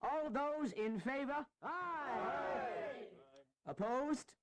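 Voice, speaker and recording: An actor playing a formal, brisk eighteenth-century convention chairman, proclaiming officially in a historical audio drama. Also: very high voice, heavy background noise, heavily acted. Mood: officious.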